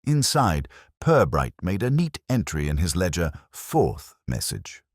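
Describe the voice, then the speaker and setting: A man narrating a detective mystery in measured, literary storytelling. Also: low-pitched, big pitch swings, dry recording, studio quality.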